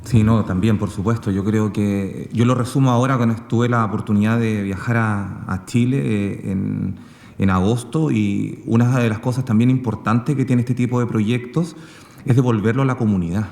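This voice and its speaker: A man speaking off the cuff as a panelist, in a halting way full of filler words. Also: fairly low pitch, steady tone, gravelly, a little mellow. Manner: halting, filler-heavy phrasing with repeated "digamos". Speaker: panelist, radio project maker. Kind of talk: spontaneous panel talk. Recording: echoey hall with long reverb.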